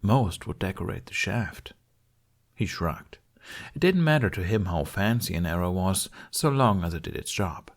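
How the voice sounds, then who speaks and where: Low-pitched male audiobook narrator. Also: expressive, moderate pace. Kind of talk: scripted story narration.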